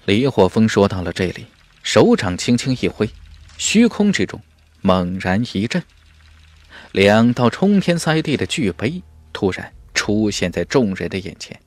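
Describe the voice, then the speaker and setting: A man's voice: fairly low pitch, big pitch swings, a little slow, dry recording. A man narrating a fantasy audiobook in a dramatic, emphatic storytelling manner. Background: music.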